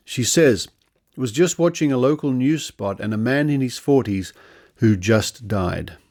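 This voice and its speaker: A man presents a podcast in a low voice with plenty of gravelly fry. He comments on news items and reads out quotes, dropping in conversational asides as he goes. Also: darkish tone. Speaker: podcast host.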